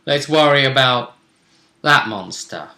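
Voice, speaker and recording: Guy gm recapping the game, bright voice, kinda wry, echoey room. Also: medium pitch, lively intonation, measured pace, vocal fry. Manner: chatty, wry, jokes about the fight. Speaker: game master. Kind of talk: recapping a tabletop game session.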